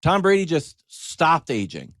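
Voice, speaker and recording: A man's voice: on the high side, wildly swooping pitch, unhurried, fairly bright tone, no room sound, studio quality. A middle-aged American man telling a story as a show host in a casual, wry comic monologue, acting out little quoted asides.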